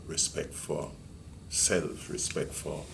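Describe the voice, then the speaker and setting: A man in his sixties with a Jamaican accent, a retiring senior police officer recalling his rural upbringing in a measured, reminiscing interview. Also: very deep voice, flat delivery, vocal fry, background noise. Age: 60s. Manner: measured, reminiscing, with many ums and restarts. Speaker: retiring police deputy commissioner. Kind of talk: reflective interview storytelling.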